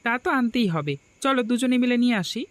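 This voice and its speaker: A woman playing an excitable young village husband in a cartoon folk tale. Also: a little high, fast, lightly acted. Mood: agreeable. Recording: faint music behind the voice.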